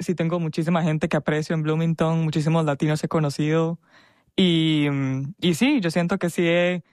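A woman's voice: low voice, no room sound, studio quality. A woman, a guest talking casually in an interview, chatty and full of small fillers. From Costa Rica. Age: 20s. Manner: warm, chatty, with frequent laughs and fillers.

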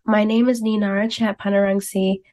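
A young woman from Thailand, hosting a student radio show. She reads a scripted intro, then asks questions casually with soft "um" fillers. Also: medium pitch, level tone, moderate pace. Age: young adult. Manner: friendly, with soft "um" fillers when asking questions.

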